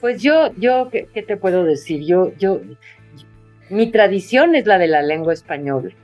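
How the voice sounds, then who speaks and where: A woman's voice: mid-range voice, lively intonation, unhurried, a little mellow, no echo, lo-fi sound, faint music behind. A woman writer being interviewed over a video call, talking casually and hesitantly with many fillers and restarts. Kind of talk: casual conversation.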